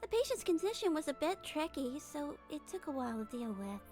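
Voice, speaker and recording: A woman voicing a small, gentle, earnest girlish head nurse in a game fan dub, sweet, polite and measured. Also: high-pitched, sing-song. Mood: weary, thoughtful. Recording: music behind voice.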